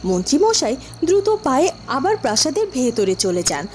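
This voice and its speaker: A woman's voice: sits high, very animated, normal speed, darkish tone, slight background noise. A woman narrating a scripted fairy-tale cartoon story.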